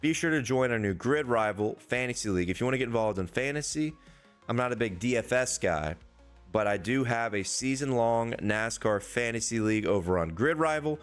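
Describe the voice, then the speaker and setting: A hoarse man, a young adult, hosting a casual sports-betting preview and swearing freely in a loose, rambling way. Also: medium pitch. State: hoarse, losing his voice. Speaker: sports betting podcast host.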